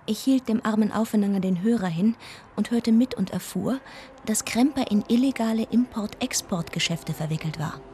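An actress as an observant deaconess narrating a crime radio play. Her voice sits at a medium female pitch, with clearly audible ambience behind it. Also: normal speed, dark tone, slight background noise, lightly acted. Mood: earnest, recounting. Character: devout, observant deaconess recounting a crime story. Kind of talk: scripted radio drama narration.

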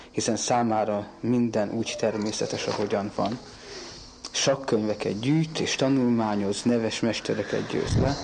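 A man, the girl's father, speaking in a formal, deliberate way in an archival radio interview. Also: on the low side, creaky, darkish tone, a little hiss or hum. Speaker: father of the girl.

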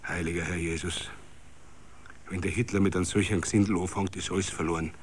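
A man's voice: deep voice, steady tone, fast talker, slight background noise, pitched below the performer's own voice, natural voice. A man voicing a shaken village mayor with a Bavarian accent, stammering and toneless with bitter despair in a radio story.

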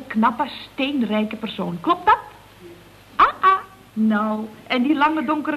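High-pitched catty office telephonist. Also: female voice, dull audio. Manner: mock-polite, catty, theatrically drawn-out. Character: sharp-tongued, scheming female office telephonist at a building firm. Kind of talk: radio comedy serial acting. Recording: noisy old radio recording.

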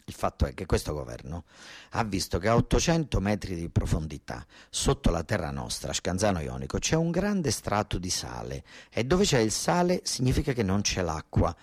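A man's voice: low voice, huge pitch swings, normal speed, no echo, clean studio sound. A man with a southern Italian accent from Basilicata, telling a first-person story as theatre in a colloquial, emphatic way, repeating phrases for effect. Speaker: monologue actor and narrator.

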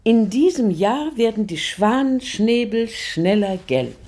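Dreamy, husky lady, slow and mellow. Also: fairly high pitch, sing-song, exaggerated voice. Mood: quietly elated, pensive. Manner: musing, hushed, deliberate. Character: dreamy, pensive lady confiding in her maid. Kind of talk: German radio play acting.

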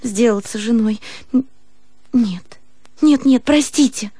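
An actress playing an emotional, ambitious young woman in a radio drama, with theatrical, halting delivery. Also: on the high side, mellow, no room sound, clean studio sound. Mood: agitated, earnest. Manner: emotional, halting, breaking off and repeating words. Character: young woman, artistic, ambitious, playful, refusing a suitor.

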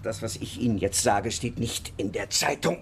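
An actor playing a formal, secretive male emissary in a radio drama, measured and hesitant. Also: lowish voice, natural voice. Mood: guarded. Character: guarded, formal male official acting as emissary.

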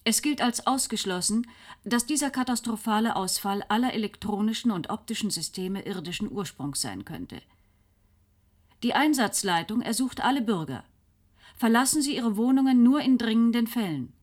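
An actress playing a newsreader in a German radio drama, delivering a formal, factual, measured bulletin. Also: female voice, fairly high pitch, darkish tone. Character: female newsreader announcing a catastrophe.

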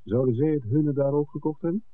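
An actor playing an earnest, persistent visitor looking into village secrets in a 1960s Dutch radio drama, polite and articulate. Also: male voice, on the high side, fairly quick, natural voice. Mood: earnest, factual. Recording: thin, phone-like old radio recording.

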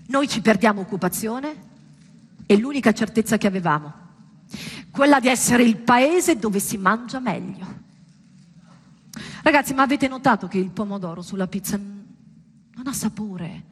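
A woman's voice: medium pitch, expressive, normal speed, long pauses, breathy edge. A woman performing a theatrical monologue to an audience, dramatic and ironic, with rhetorical questions. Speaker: stage actress performing a monologue. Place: indoor performance space, live. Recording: reverberant hall with background noise.

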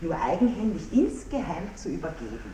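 A woman's voice: lowish voice, sing-song, a little fast, dark tone. An actress playing a sly, coy, obsequious female intriguer carrying secret messages in a theatrical radio play. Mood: coy, conspiratorial. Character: sly, insinuating female intriguer acting as go-between. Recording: distant, echoey, noisy 1960s radio drama.

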